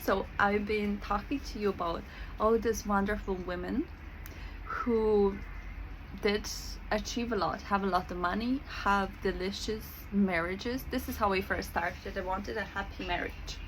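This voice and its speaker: A woman's voice: mid-range voice, steady tone, unhurried, slight background noise, poor audio. A woman from Serbia with a Serbian accent, talking casually to camera as a spiritual coach, chatty with many fillers and frequent laughs.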